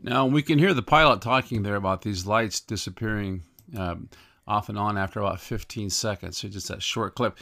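Low gravelly guy hosting, reads articles out and chats about them, takes his time. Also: normal speed, bright, forceful tone. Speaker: host commentator. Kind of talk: reading news articles aloud with informal commentary.

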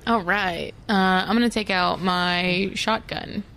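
A woman's voice: on the low side, fairly even intonation, slow, vocal fry, bright, forceful tone. Casual woman playing a tabletop game.

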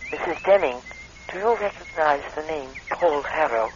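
A woman's voice: low voice, expressive, moderate pace. An actress playing a formal, polite female stranger in a radio drama. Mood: formal, probing. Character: formal, polite woman, a stranger asking careful questions. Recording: muffled noisy vintage radio recording.

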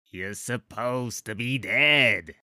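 A man's voice: medium pitch, slow pace, bright, forceful tone, no room sound, studio quality. A man voicing a dim, wheezing rust-hided troll. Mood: gasping.

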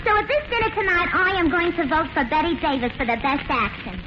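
Pert young woman, high voice, opinionated, vintage radio comedy, muffled with hiss. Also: sing-song, fast talker. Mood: argumentative. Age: young adult. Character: pert, argumentative young woman, tavern owner's daughter. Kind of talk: 1940s radio comedy acting. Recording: muffled, hissy 1940s radio recording.

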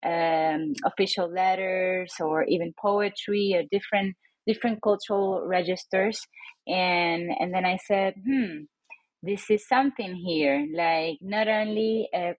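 A woman professor from Puerto Rico talks through her research in an interview. She tells it as a story, often stopping to correct herself, and her pitch sits in the middle. The sound is dry and close. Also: no room sound, low-quality recording. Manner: thoughtful, self-correcting storytelling. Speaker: author and professor. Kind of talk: podcast interview answers.

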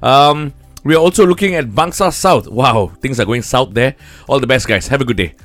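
A man's voice: medium pitch, expressive, normal speed, fairly bright tone, dry recording, faint music behind. A man with a Malaysian accent presenting on radio in a casual, conversational, wry way.

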